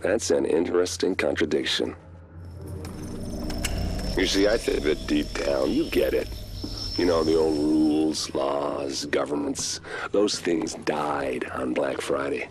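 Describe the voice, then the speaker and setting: Very deep, smug rogue agent villain. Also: male voice, slight background noise, full character voice. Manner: taunting, conversational. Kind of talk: video game monologue.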